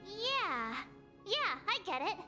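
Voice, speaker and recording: A very high, girlish voice as an excitable teenage adventurer in an anime-style game. Her delivery swings up and down, chatty and naive, turning whiny when flustered. Prominent music plays behind her. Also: slow pace, exaggerated voice. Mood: puzzled, whiny.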